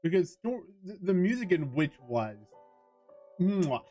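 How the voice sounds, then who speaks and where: A man's voice: high voice, big pitch swings, measured pace, warm tone, faint music behind. A man talking casually to viewers as a livestreamer during a gaming stream.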